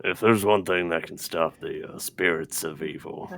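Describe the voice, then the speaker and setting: A man's voice: low-pitched, moderate pace, fairly bright tone. A man playing a tabletop roleplaying game, bantering in character with a wry, joking manner.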